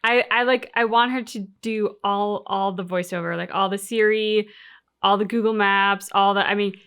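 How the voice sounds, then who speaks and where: A woman's voice: mid-range voice, steady tone, moderate pace, a bit bright, smooth. A woman co-hosting a game reaction, talking casually and enthusiastically in loose, informal sentences. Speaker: reaction channel co-host. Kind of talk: casual reaction chat about a video game.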